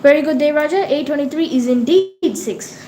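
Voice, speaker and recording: A woman with an Indian accent hosting a casual livestream, reading chat and tutoring math in a slow, halting way with many fillers. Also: high voice, mellow, recorded from a distance. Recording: very echoey room, voice off-mic, noisy background.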